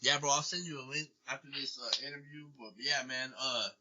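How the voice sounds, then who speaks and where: A man's voice: mid-range voice, fairly even intonation, brisk, bright, forceful tone. A man hosting an interview in casual chat, slangy, filling his lines with "bro" and "dog". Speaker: podcast host.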